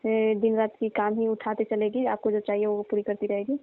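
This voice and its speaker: A woman's voice: medium pitch, monotone, a little fast, dry recording, close to an everyday voice. A woman playing a tired, complaining young daughter-in-law pleading in a staged, conversational phone call. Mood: argumentative. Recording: dull, band-limited phone call recording.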